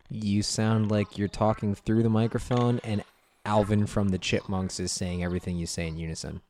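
Male podcast co-host, lower pitch, dry crude humor. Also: normal speed, a little hiss or hum. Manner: crude, deadpan banter. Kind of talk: casual chat. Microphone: studio podcast mic.

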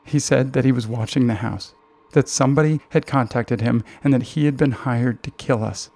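A man's voice: mid-range voice, moderate pace, creaky, warm tone. A man voicing a frightened, skittish mother in an audiobook, breathless and stammering as her words tumble out. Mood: panicked, breathless. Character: frightened, frantic mother of a college student.

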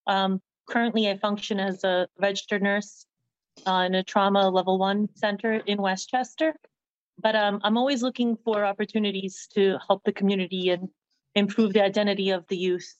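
A woman's voice: medium pitch, little pitch movement, normal speed. A woman from the United States introduces herself to a panel in an earnest, somewhat hesitant way. The sound is dry and muffled, with no room echo at all. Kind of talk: self-introduction.

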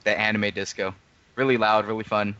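A man's voice: lowish voice, little pitch movement, a little fast, bright, forceful tone, clear, resonant tone. A young man in casual chat, enthusiastic and slangy, swearing as he talks. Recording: dull lo-fi sound.

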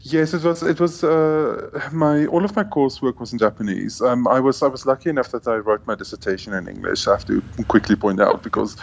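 A man's voice: mid-range voice, a little fast, creaky, hardly any pauses, slightly rough. A man co-hosts a podcast in a mid-range voice. He is hesitant and fills his sentences with ums and restarts, and he comes through a slightly dull, muffled remote line. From South Africa. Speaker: podcast co-host, academic.

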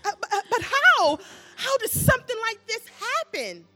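Woman playing bright, high-pitched pastor's wife. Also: big pitch swings, moderate pace, soft background music, exaggerated voice. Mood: alarmed. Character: pastor's proud, appearance-minded wife and fussing mother. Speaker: actor in an audio drama. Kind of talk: audio drama acting.

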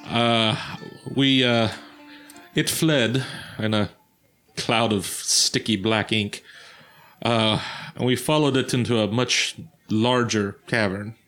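A man's voice: mid-range voice, fairly even intonation, slow pace, a bit bright, faint music behind. A man recapping a tabletop adventure in character, with formal, old-fashioned phrasing and hesitations. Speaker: player recapping the story.

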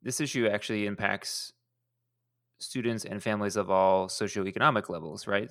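A man's voice: on the low side, creaky, a bit bright, dry recording, clean studio sound. A man hosting a podcast, asking long, conversational, rambling questions. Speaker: podcast host. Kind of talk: interview questions.

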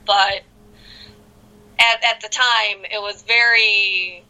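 A young woman with an American Midwest accent, a guest in a casual interview, telling animated stories with frequent laughter. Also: mid-range voice, slow pace, clean tone, poor audio. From South Korea. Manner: animated storyteller who laughs often. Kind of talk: casual conversation.

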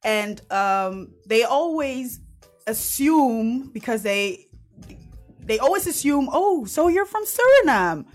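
A woman's voice: sits high, big pitch swings, slow. Young Dutch-native woman, casual and chatty, talking over faint background music. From Netherlands. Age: young adult. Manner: chatty, laughs while talking. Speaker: guest, web-series co-creator. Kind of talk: casual interview chat.

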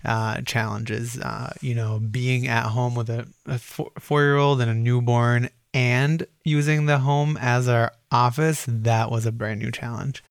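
A man who was born in Egypt and raised in Minnesota answers interview questions in a flat, even tone. His pace is steady and his talk is loose, full of "you know" and "uh". The recording is dry and close. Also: medium pitch, measured pace, vocal fry, few pauses, no room sound. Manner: flat, steady, uses many fillers. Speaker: guest, business owner.

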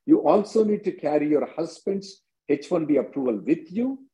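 A man, hosting a call-in as an attorney, briskly answering questions and repeating himself. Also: on the high side, animated, dark tone. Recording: dull lo-fi conference call audio.

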